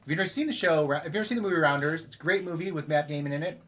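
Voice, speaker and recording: A man's voice: fairly high pitch, rapid-fire, fairly bright tone. A man co-hosting a board game livestream in casual, conversational style, with some background noise and clicks. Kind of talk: casual chat.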